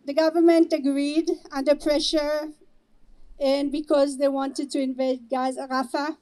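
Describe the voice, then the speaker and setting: Earnest Israeli-accented woman, high and mellow. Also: flat delivery, low-quality recording. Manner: earnest, halting, with restarts and pauses. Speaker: organizer speaking at a vigil. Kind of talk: personal speech at a vigil.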